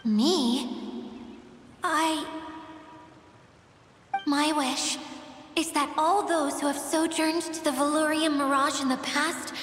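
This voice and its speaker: High, slow-spoken, earnest young heroine. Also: female voice, fairly even intonation, fairly bright tone, faint music behind. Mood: wistful, hopeful. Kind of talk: video game cutscene acting. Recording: echoey long reverb, faint noise.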